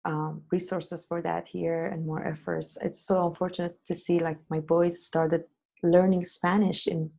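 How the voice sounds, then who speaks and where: A woman in conversation with a Persian accent and a low, gravelly voice full of vocal fry. She talks hesitantly, feeling for her words in a casual interview. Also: little pitch movement, unhurried, mellow.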